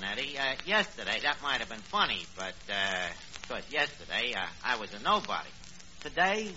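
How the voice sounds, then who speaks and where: An actor playing a cocky, blustering tavern manager with a New York accent, mangling big words with pompous confidence in a radio comedy. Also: male voice, mid-range voice, sharp tone. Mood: self-important. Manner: malapropisms delivered with pompous confidence. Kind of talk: 1940s radio comedy acting. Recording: dull, noisy old radio recording.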